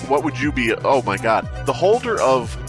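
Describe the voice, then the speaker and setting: A man's voice: mid-range voice, very animated, normal speed, music in the background. A man hosting a podcast, riffing crudely and exclaiming in casual joking group chat. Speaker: podcast host.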